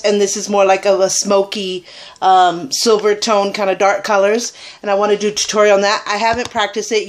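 A Puerto Rican woman in her thirties, chatting her way through a casual makeup tutorial into a webcam in a small room, with plenty of ums. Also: medium pitch. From Puerto Rico. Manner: chatty, rambling, filler-heavy. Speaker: beauty vlogger. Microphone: webcam at arm's length.

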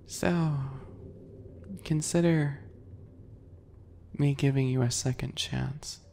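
A man voicing a sweet-spoken, obsessive male bunny predator in an ASMR roleplay, soft, breathy and teasing, often whispering. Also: medium pitch, steady tone. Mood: teasing menace. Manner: slow, teasing, giggly, menacing.

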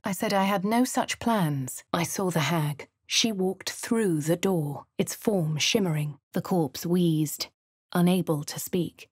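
Posh English heroine, crisp and clipped, slightly low voice, dry booth sound. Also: female voice, no room sound. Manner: crisp refined delivery, shouts spell incantations. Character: posh young adult female adventurer. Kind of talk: game character voice lines and narration.

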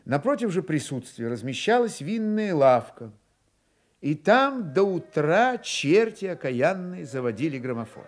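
Casting: male storyteller for radio theatre, expressive, higher-than-average voice with lively melodic swings. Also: a little slow, faint music behind. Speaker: narrator. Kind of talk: dramatized literary narration for radio.

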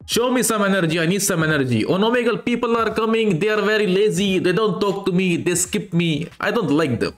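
A man's voice: high-pitched, animated, a little fast, few pauses. A young Indian man on a headset chatting with strangers, putting on a fake Russian accent in broken English. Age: young adult. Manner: mock Russian broken English, repeats "my friend". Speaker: streamer trolling strangers. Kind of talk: put-on Russian accent prank chat. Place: indoor room, video chat.

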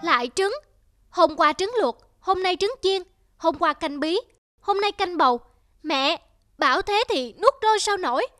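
A woman voicing a selfish young adult son in an animated moral tale, whining and complaining demandingly. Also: very high-pitched, measured pace, no room sound, studio quality, heavily acted. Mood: sulky. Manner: petulant, complaining.

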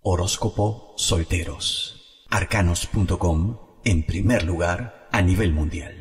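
A man announcing a website promotion as a measured voice-over. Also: low-pitched. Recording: long reverb.

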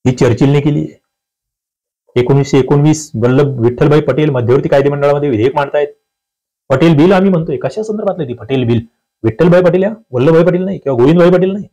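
Male coaching teacher, Maharashtrian accent, soft mellow tone, instructive and emphatic, walks through quiz questions. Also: mid-range voice, breathy edge, rough recording. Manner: instructive, emphatic, often asks rhetorical questions. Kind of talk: quiz-paper lesson, reading questions and answers aloud.

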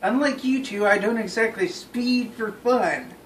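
A man's voice: sits high, a little slow, a bit bright. A young adult man telling a story casually to friends, exasperated, emphatic and given to repeating himself. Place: small bedroom, indoors. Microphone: phone camera across the room. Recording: roomy, noisy recording, distant phone mic.

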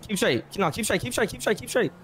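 A man's voice: high-pitched, expressive, fast, a bit bright, rough recording. An American man playing an online roleplay game, talking in casual street slang and urgently repeating his commands. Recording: game voice chat with faint vehicle noise.

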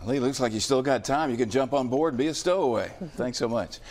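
An older man anchors the local news from a studio desk in an easygoing way. His voice is mid-pitched and plain, and he trades light jokes with the field reporter. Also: brisk. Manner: relaxed, bantering with the reporter.

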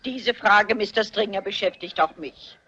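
An older woman playing a shrewd, stubborn elderly amateur sleuth in a German crime radio play, husky and briskly self-assured. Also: medium pitch, sharp tone, lo-fi sound, a little hiss or hum. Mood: bossy, eager.

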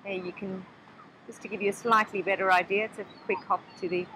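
A woman chats casually from behind the camera, mid-pitched and talkative. She addresses viewers and her partner in an easy flow. Beach wind and seagulls are clearly audible behind her. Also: level tone, a little fast. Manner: chatty, friendly, talks to the viewer from behind the camera. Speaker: co-host filming the painting. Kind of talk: casual chat. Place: outdoors on a beach. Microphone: camera mic, filming. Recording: outdoor noise, gulls. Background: seagulls and beach ambience.

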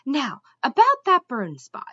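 A woman's voice: high voice, very animated, measured pace, husky, highs cut off, voice pushed up, full character voice. Woman reading as a polite, puzzled king. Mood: placating. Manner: mild, questioning, courteous.

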